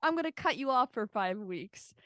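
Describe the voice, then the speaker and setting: A woman co-hosting a podcast, joking energetically in casual banter and swinging loud at times. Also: on the high side, expressive, normal speed, no echo, studio quality. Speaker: podcast co-host. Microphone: remote call, separate room.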